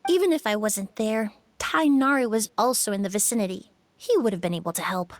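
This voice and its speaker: A woman voicing a composed young apprentice in a video game, speaking in a calm, measured, reassuring way. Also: mid-range voice, no echo, close to an everyday voice. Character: composed young apprentice perfumer, devoted student. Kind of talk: game dialogue.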